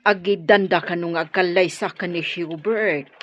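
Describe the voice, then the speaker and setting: A woman's voice: on the low side, steady tone, normal speed, fairly bright tone, highs cut off. A woman playing a frank, matronly, well-off mother of a grown son in an Ilocano radio drama, with an Ilocano accent. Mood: businesslike, probing.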